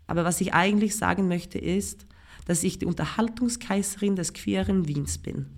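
Gravelly low-voiced Swedish woman, wry. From Sweden. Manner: wry, self-mocking, deadpan delivery. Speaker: writer and performer, guest. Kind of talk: reading her own comic prose aloud.

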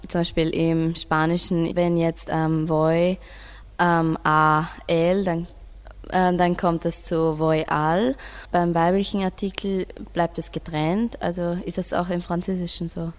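A woman's voice: lowish voice, little pitch movement, unhurried, clear, resonant tone. A teenage girl, a school student, informally explaining things to a friend in a hesitant, searching way. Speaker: school student in a radio project.

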